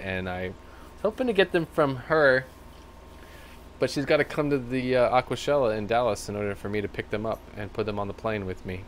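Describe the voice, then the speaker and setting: A man with a slightly rough, tired voice hosting a live stream, rambling casually and thanking viewers by name. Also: on the low side, a little hiss or hum. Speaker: livestream host. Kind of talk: casual live-stream chat reading comments.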